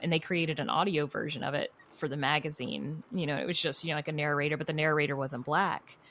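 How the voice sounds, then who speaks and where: A woman's voice: sits low, fairly bright tone. A woman speaking casually and candidly as an interview guest, chatty and informal, peppering her talk with "you know" and "like". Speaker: interview guest, writer and audio fiction producer.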